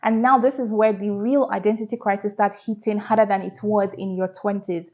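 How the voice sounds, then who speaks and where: A woman's voice: medium pitch, steady tone, brisk, darkish tone, dull audio. A woman, talking candidly to her vlog audience and asking rhetorical questions. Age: 30s. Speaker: vlogger. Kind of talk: casual reflective talk to camera.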